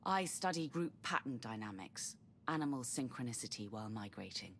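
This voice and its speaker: An actress playing a weary, earnest middle-aged scientist in a video game. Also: female voice, a bass voice, expressive, fairly quick, a little hiss or hum. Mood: weary, explaining. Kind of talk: video game cutscene acting.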